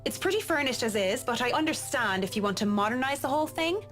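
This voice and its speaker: Polite, measured interior designer. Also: female voice, a little high, lively intonation, a bit bright. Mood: professional. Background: game music.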